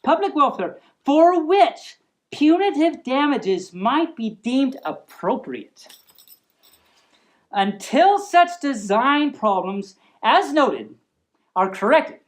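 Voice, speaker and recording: A woman hosting a storytime, reading aloud in a playful, mock-serious manner with chatty asides and stumbles over long words. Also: fairly high pitch. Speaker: storytime show host.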